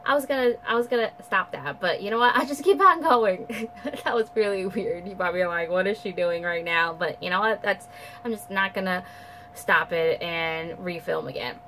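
A woman's voice: medium pitch, normal speed, bright, forceful tone, slight background noise. Chatty nasal young woman vlogging. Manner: chatty, rambling with many fillers and restarts. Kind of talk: casual chat to camera. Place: bedroom at home, indoors. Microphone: camera at close range.

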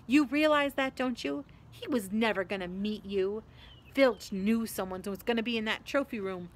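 Woman acting a bossy, high-voiced schoolgirl. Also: moderate pace, close to an everyday voice. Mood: exasperated. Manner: scolding, emphatic. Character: bossy, exasperated young schoolgirl.

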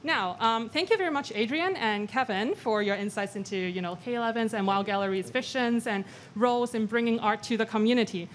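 A woman's voice: a little high, slight background noise. A young woman moderating a panel talk in a large gallery, speaking politely into a handheld mic and reading from notes. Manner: polite, measured, reads from notes.

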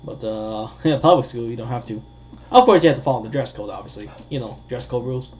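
A man giving casual, hesitant gameplay commentary with frequent pauses. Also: medium pitch, animated, a little mellow. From United States. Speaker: gamer, video creator. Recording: dull lo-fi mic, faint noise.

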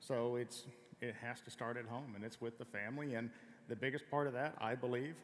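A man in his thirties, a guest on a church stage, answering in a calm, direct, plainspoken way. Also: medium pitch, fairly quick. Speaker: guest, former Navy SEAL. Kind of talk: onstage interview. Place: large church stage before an audience. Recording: long hall reverb, faint noise.

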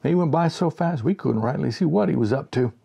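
A man's voice: fairly high pitch, lively intonation, fairly quick, gravelly, mellow, slightly rough, pitched above the performer's own voice, exaggerated voice. A man reading a storybook, voicing a baffled, scolding old country fisherman with a rural American accent. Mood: baffled, disapproving. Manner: folksy, exclamatory, scolding. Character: gruff, folksy country ice fishermen, baffled old-timers.

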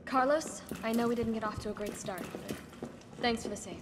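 Woman acting a tough, high-voiced young survivor, noisy backdrop. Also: brisk, lightly acted. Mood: earnest. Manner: blunt, resolute. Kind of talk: video game cutscene acting.

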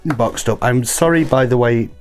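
A man in his thirties with a croaky voice from a cold, chatting casually while unboxing toys at his desk. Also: mid-range voice, moderate pace, faint music behind. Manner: rambling, self-correcting. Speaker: toy collector vlogger. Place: home desk. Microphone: overhead camera, hands only.

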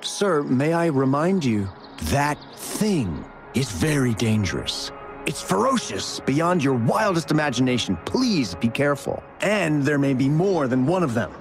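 A man's voice: fairly high pitch, big pitch swings, unhurried, music in the background. A man playing a polite, apprehensive informant in a video game, with a deferential, cautioning manner. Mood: worried warning.

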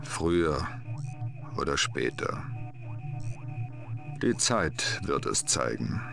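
A man playing a grave, cryptic old scientist in a dubbed video game cutscene, speaking in an ominous, drawn-out way. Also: very deep voice, big pitch swings, creaky, music in the background, heavily acted.

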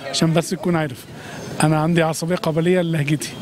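A man's voice: on the high side, level tone, very fast, crowd noise. A middle-aged Egyptian man with an Egyptian Arabic accent, talking emphatically and effusively in a street interview at night. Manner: effusive, emphatic, swears by God often. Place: city street at night, outdoors. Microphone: handheld reporter mic. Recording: outdoor street noise.

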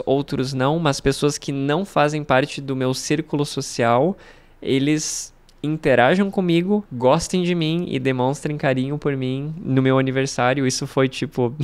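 A man's voice: a little high, clear, resonant tone. A Brazilian man in his thirties, a language teacher, chatting animatedly to camera from a room at home into a studio mic. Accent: Brazilian Portuguese. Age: 30s. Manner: animated, gestures a lot with both hands, laughs between phrases. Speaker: language teacher and channel host. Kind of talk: casual talking-head vlog. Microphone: studio mic on a stand in frame.

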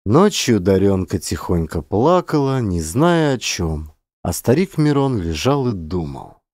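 A male audiobook narrator performs a literary tale. His pitch moves in lively swings, and the recording is dry and close, with no room sound. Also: measured pace, dry recording.

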